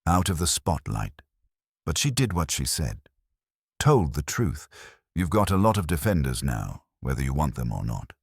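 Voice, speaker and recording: A man's voice: rumbling low, big pitch swings, normal speed, husky, no room sound, clean studio sound, exaggerated voice. A man voicing a breezy, wisecracking detective's assistant with slangy, wry talk, in an audiobook. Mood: wry, reassuring.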